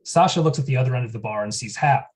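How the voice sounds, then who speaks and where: Man, stage directions, plain, matter-of-fact, middle pitch. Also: racing, a little mellow.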